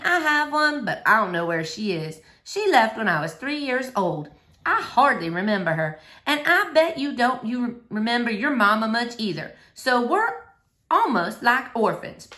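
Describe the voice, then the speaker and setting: A woman's voice: a little high, sing-song, normal speed, bright, forceful tone. A woman voicing an earnest, wistful ten-year-old girl who confides plainly and eagerly in a storybook reading. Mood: confiding, wistful.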